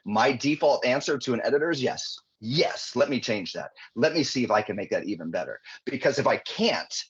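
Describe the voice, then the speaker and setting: A man talking as a guest author in a podcast interview, earnest and animated, often restarting his sentences. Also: mid-range voice, a little fast, breathy edge. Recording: dull lo-fi audio.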